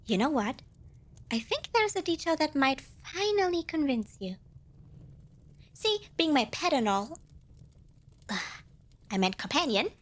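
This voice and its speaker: A woman's voice: sits high, sing-song, slow, long pauses, full character voice. A woman playing a cute, eager little demon who craves cuddles, wheedling playfully in a fantasy ASMR roleplay. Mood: pleading. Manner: playful wheedling salesmanship with flustered self-corrections. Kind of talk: scripted ASMR fantasy roleplay addressed to the listener.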